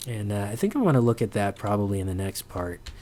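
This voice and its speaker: Low-voiced man giving a halting tutorial. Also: big pitch swings, warm tone, slight background noise. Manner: halting, thinking aloud. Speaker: sound designer giving a tutorial. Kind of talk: informal unscripted tutorial.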